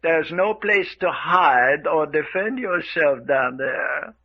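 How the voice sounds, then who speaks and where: A man's voice: a little high, lively intonation, takes it slowly, vocal fry, exaggerated voice. A man playing a gloating, sinister radio-drama villain who speaks in an affected, chuckling way and stammers over repeated words. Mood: taunting, warning. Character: gloating, sinister villain giving menacing guided tour. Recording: dull lo-fi radio recording.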